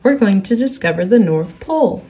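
A woman's voice: mid-range voice, lively intonation, moderate pace, dark tone, faint music behind, close to an everyday voice. A woman voicing a confident, offhand young boy who is fondly bossy in a children's story. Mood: breezy, instructing. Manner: breezy, explaining things carelessly. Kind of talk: children's storybook character reading.

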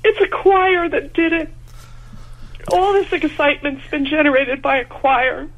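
A woman's voice: sits high, fairly even intonation, measured pace, muffled recording. A woman choir director calling a radio show, overwhelmed and close to tears. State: overwhelmed, tearful. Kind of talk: emotional live reaction.

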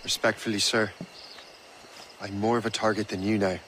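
A man's voice: fairly low pitch, level tone, normal speed, fairly bright tone, slight background noise, natural voice. Man playing a terse young Irish sea captain. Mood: determined. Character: resolute young man, ship's captain and fighter. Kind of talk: dramatic video game acting. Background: music.

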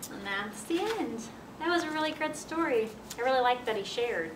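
A woman's voice: animated, normal speed. An American woman in her thirties reading a picture book aloud to children. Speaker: children's library storyteller. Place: library children's area, indoors. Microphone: camera a few feet away. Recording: roomy, distant mic with noisy rumble.

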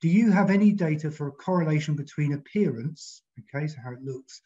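A man chairing an online session, briskly reading out audience questions over a video call. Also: on the high side, animated, mellow, highs cut off.

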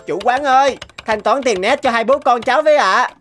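A man voicing an eager teenage student son who loves video games, in an animated cartoon. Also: very high-pitched, a little fast. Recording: music under the voice.

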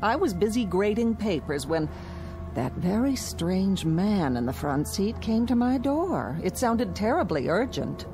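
An actress playing a composed, curious schoolteacher in a radio drama. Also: female voice, medium pitch, big pitch swings, unhurried, over music. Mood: wry. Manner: wry and composed.

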